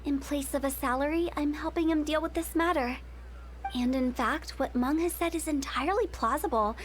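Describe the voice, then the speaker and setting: A woman's voice: high-pitched, normal speed, a little hiss or hum, natural voice. A woman voicing a mischievous young funeral director. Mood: calm. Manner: breezy, confident, mischievous. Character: playful young female funeral parlor director.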